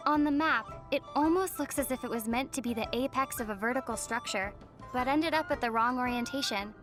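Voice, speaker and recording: A woman plays a young female adventurer from a video game, gentle and thoughtful. Her voice is high and girlish, delivered softly in a measured, musing way, with music underneath. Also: natural voice. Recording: music behind the voice.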